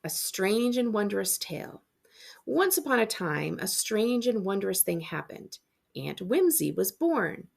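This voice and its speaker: A woman, a school librarian, reading a storybook aloud as an expressive narrator. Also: mid-range voice, a little slow, gravelly, slightly rough.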